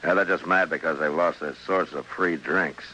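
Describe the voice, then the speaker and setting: Radio western lawman: rock-bottom pitch, terse, weary, dutiful, slight vintage hiss. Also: fairly even intonation, moderate pace, bright, forceful tone, muffled recording. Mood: wry, firm. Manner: grave, terse, plain-spoken. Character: stern, weary, dutiful frontier marshal, loyal friend. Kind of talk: old-time radio western drama acting. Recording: noisy old radio drama recording.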